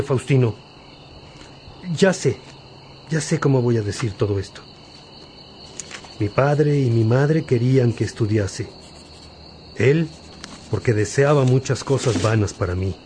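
A man's voice: mid-range voice, a little mellow, slight background noise, natural voice. Earnest fatherly priest, played by a man, night noises behind. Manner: earnest, rhetorical, deliberate. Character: older Saint Augustine, reflective, fatherly priest dictating confessions. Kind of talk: radio drama acting. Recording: insect-like night ambience behind voice.

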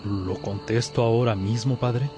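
A man's voice: medium pitch, steady tone, a little slow, dark tone, slight background noise, natural voice. An actor playing a lovesick young man, a respectful pupil who speaks earnestly and hesitantly in a religious radio drama. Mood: puzzled. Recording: music behind the voice.

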